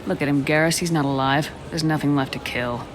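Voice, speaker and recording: A woman playing a steady, compassionate military commander in a video game, speaking calmly and firmly in measured phrases. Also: sits low, noisy background, lightly acted. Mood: calm, persuasive. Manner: calm, firm, measured. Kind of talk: video game cutscene acting. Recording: loud music behind the voice.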